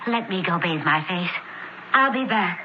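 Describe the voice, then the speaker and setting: An actress playing a devoted, anxious young Roman woman in a radio drama, with dramatic pauses. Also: fairly low pitch, expressive. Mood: weary relief. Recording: muffled, faintly noisy radio broadcast.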